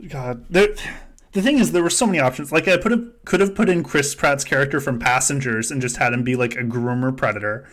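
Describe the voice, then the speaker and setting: A man with a medium-pitched voice hosts a casual game podcast. He talks in a joking, sweary way and laughs often as he chats with his friends. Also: a little fast, a bit bright. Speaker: podcast host. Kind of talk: casual chat running a simulated reality-show game.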